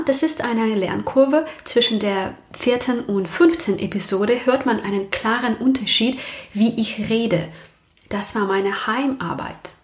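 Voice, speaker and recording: A young woman with a slightly raised voice speaks German with a non-native accent. She hosts her own podcast, reflecting earnestly and turning to her listeners with direct questions. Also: on the high side. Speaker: podcast host. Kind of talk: personal reflective podcast monologue.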